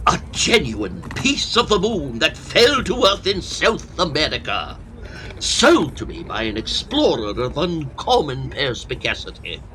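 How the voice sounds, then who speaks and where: Comic audio drama: pompous old hypochondriac antiquarian, slow, highly animated, faint background noise. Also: male voice, heavily acted, low-quality recording. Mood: proud, boastful. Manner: theatrical, pompous, fretful. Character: fussy, self-pitying hypochondriac old Victorian antiquarian professor.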